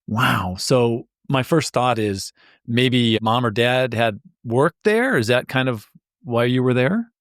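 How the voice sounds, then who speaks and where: A man's voice: mid-range voice, expressive, slow pace, fairly bright tone, no room sound, studio quality. A man hosting an interview podcast, upbeat and enthusiastic in a casual chat, often restarting his words. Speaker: podcast host.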